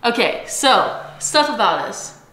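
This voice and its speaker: Young woman vlogger in her late twenties, from Washington state, giggly storyteller, bright tone, roomy kitchen sound. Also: fairly high pitch, very animated, takes it slowly, a little hiss or hum. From Washington state, USA. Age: late 20s. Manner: chatty storyteller, giggly, lots of "like" and "um". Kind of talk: casual chat. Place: bright home kitchen, indoors. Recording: echoey room.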